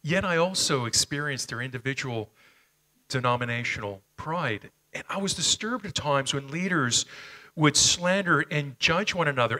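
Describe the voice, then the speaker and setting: A man's voice: a little high, bright, forceful tone, rough recording. A middle-aged man with a Canadian accent, whose first language was Slovenian, preaching a sermon on a church stage into a headset mic, telling personal stories earnestly with frequent hesitations. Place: church stage, medium-sized hall.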